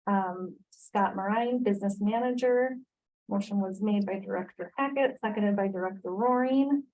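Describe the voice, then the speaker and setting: A woman's voice: mid-range voice, normal speed, darkish tone, low-quality recording. A woman chairing a school board meeting, reading out motions and calling the votes in a formal way.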